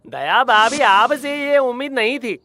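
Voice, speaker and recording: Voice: mid-range voice, lively intonation, measured pace, crowd noise. An actor voicing a fussy, aggrieved male neighbour who scolds indignantly in a comedy cartoon. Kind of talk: animated comedy cartoon dialogue. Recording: music behind the voice.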